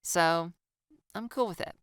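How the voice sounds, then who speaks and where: A woman voicing a playful, excitable young woman with a bubbly, teasing, theatrical manner in an audiobook story. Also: on the low side, unhurried, clean tone, no room sound, studio quality, pitched above the performer's own voice. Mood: cheeky, coaxing. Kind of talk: audiobook character dialogue.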